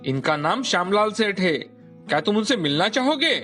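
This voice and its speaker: Guy doing a brisk bossy-official doctor in a story, businesslike, highish voice, soft music behind. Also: very animated, full character voice. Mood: approving, curious. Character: brisk, authoritative male hospital doctor. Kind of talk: dramatized Hindi moral story.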